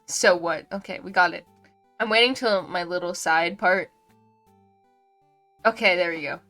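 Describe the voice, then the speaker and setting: A woman's voice: on the low side, a little slow, pushed and bright. A woman game streamer giving casual, sweary and effusive livestream commentary on a visual novel. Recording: music behind the voice.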